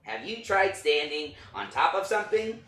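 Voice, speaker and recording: A man's voice: a little high, very animated, normal speed, pitched above the performer's own voice. A man voicing a chipper puppet captain who teases and cheers up his puppeteer in a staged play reading. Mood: perky, teasing. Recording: roomy, distant mic, faint noise.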